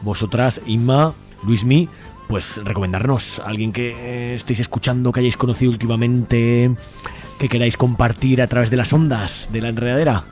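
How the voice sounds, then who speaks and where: A man's voice: mid-range voice, steady tone, normal speed, darkish tone, soft background music. A man with an accent from Spain hosting an informal radio show in a chatty, colloquial way.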